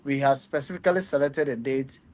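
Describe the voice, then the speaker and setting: A man's voice: medium pitch, brisk, darkish tone. A man from Ghana, a company founder answering interview questions earnestly, with many ums. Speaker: travel agency founder.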